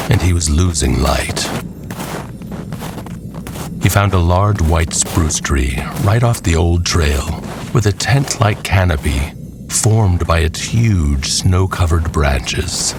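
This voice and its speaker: A man narrating a scripted dramatic tale in a folksy, deliberate storyteller's manner, with music behind him. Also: low-pitched.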